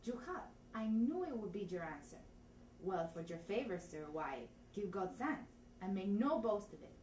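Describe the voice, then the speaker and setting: A woman's voice: mid-range voice, very animated, normal speed, a little mellow, a little hiss or hum, natural voice. Casting: woman as pompous, self-important stage constable with a comic put-on accent, declamatory, recorded at a distance in an echoey room. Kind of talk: Shakespearean comedy, theatre audition reading.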